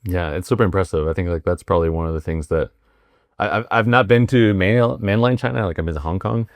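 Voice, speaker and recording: A man hosting a podcast interview, asking questions in a halting way with many fillers and restarts. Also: deep voice, dry recording, clean studio sound. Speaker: podcast host. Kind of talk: casual interview with read ad intro.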